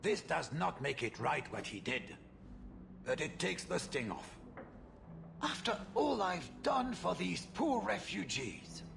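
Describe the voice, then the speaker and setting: An actor playing a pompous, scornful merchant employer with formal speech in a video game drama. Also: male voice, fairly high pitch, sing-song, slow, vocal fry, full character voice. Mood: grudging. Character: haughty, contemptuous merchant employer, self-important and grudging. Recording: music behind the voice.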